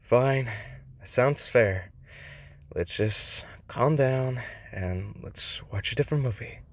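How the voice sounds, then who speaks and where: A man's voice: medium pitch, takes it slowly, no room sound. A man voicing an anxious, placating, devoted young boyfriend in a cartoon comic dub. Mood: flustered pleading. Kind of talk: fan comic dub acting.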